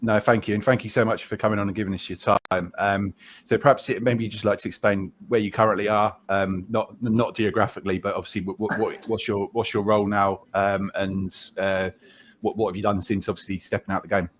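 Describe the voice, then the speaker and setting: A man's voice: fairly low pitch, level tone, fairly quick, slight background noise. A man hosting a podcast conversationally, asking polite, rambling questions. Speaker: podcast host.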